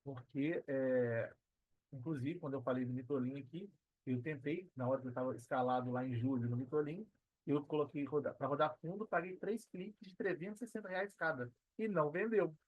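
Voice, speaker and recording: A Brazilian man giving casual, reflective interview answers as a podcast guest, pausing as he thinks and often adding tag questions. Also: on the high side, dry recording, narrow, tinny audio. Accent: Brazilian Portuguese. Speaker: podcast guest, affiliate marketer.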